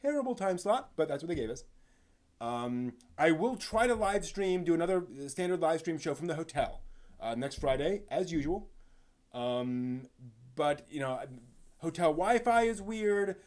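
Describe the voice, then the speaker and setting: A man hosting a casual live stream, speaking in a halting, rambling way and stumbling over his words, sounding somewhat tired. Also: a little high, expressive, a little mellow. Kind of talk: casual live stream chat, answering chat questions.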